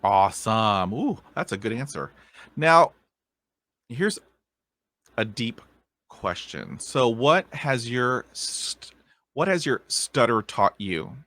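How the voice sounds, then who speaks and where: A male podcast host who reacts with enthusiasm and then poses his questions. He speaks slowly, and his pitch moves lively up and down. The sound is dry, with no room around it. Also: no room sound. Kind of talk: interview questions.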